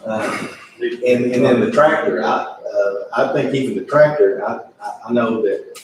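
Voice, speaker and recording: A man chairing an informal public meeting in a folksy, halting way, repeating himself. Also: medium pitch. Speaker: judge presiding over county commissioners meeting. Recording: echoey meeting room, distant mic, faint noise.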